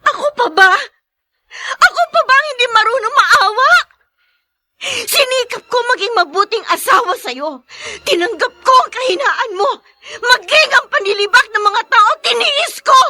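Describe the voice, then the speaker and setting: An actress playing a wronged young wife who pleads meekly and grows tearful, in a radio drama. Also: female voice, very high-pitched, animated, moderate pace, sharp tone, no room sound, heavily acted. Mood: anguished. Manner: meek and pleading, turning tearful.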